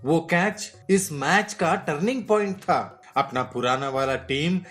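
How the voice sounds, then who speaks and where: A man playing a complaining, fault-finding father in an animated moral story. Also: a little high, measured pace. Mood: grumbling. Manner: complaining, scolding. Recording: music behind the voice.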